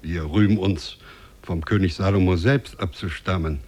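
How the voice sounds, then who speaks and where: An actor playing a haughty, greedy noble father with a condescending, drawn-out delivery in a 1950s German radio drama. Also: low-pitched, a little mellow. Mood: haughty, scornful.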